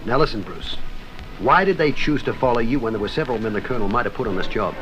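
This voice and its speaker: An actor playing an easygoing, wry sidekick to a secret agent in a 1960s radio drama, casual and teasing. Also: male voice, medium pitch, animated, fast talker, fairly bright tone, faint music behind, natural voice, rough recording. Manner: casual, teasing, laid-back.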